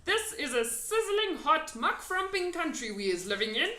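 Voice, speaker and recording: A big, gentle giant out of a children's storybook, played by a woman, speaks with dreamy pride and earnest emphasis. The voice swings widely in pitch and has a bright, forceful edge. It is recorded in an echoey room. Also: big pitch swings, moderate pace, exaggerated voice. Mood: dreamy, longing. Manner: quaint muddled grammar, emphatic delivery. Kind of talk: storybook character reading.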